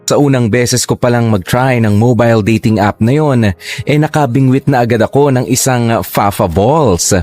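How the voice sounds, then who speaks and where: A man's voice: mid-range voice, moderate pace, a little mellow, soft background music. A man narrating a love story in the first person, in a gossipy, confiding way.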